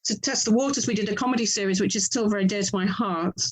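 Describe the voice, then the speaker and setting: A middle-aged English woman speaks at a medium pitch as an author being interviewed. She is chatty and reflective, often breaking off and rephrasing as she talks through her answers. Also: quick pace, breathy edge. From England. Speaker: author and audio drama producer being interviewed.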